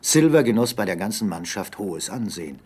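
Male narrator for adventure audio drama, low-pitched, husky, dramatic delivery. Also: big pitch swings, a little fast, darkish tone. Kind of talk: dramatic scripted story narration.

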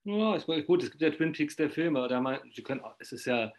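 A man's voice: a little high, lively intonation, quick pace. A man in his fifties interviewing conversationally over a video call, rambling and often interrupting himself mid-sentence. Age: 50s. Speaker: interviewer, magazine publisher. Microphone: video call microphone at desk distance.

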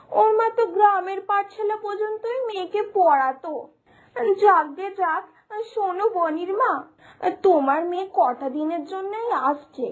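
A scolding village neighbour from a cartoon, acted by a woman, has an extremely high-pitched voice. She is bossy and opinionated, nagging like someone who always knows better. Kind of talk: dramatised cartoon dialogue.

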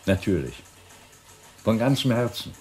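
An actor playing a reserved, polite and guarded intelligence officer in a radio play, speaking briefly. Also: male voice, on the low side, very animated, a little slow, a little mellow. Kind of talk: German radio play acting.

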